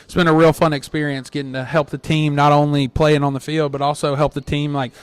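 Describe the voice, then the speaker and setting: A young man chatting as a guest on a podcast, easygoing and plain-spoken, with a country feel. His voice is smooth and clear and sits slightly high for a man. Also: little pitch movement, brisk, no echo. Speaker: guest, arena football team captain. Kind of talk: casual interview chat. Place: casino boardroom.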